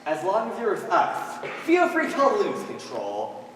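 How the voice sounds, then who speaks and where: A teenage boy acts a theatrical, wisecracking male comedian in a school stage play. The delivery is deliberate and swings wildly in pitch, picked up from a distance with faint noise. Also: wildly swooping pitch, fairly bright tone, full character voice. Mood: wry. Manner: theatrical, wry, emphatic. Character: male wisecracking underground vaudeville comedian of a duo. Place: stage in a large hall, recorded from afar. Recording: echoey hall, distant microphone, background noise.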